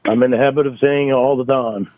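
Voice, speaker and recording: A man arguing over the phone in a halting, defensive way, repeating himself, on a thin line. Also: medium pitch, steady tone, narrow, tinny audio, a little hiss or hum. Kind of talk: phone argument. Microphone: phone call.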